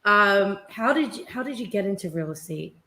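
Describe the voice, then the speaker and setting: A woman's voice: medium pitch, animated, moderate pace, pushed and bright. Woman host on a video call, chatty, lots of curious questions. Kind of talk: casual live interview.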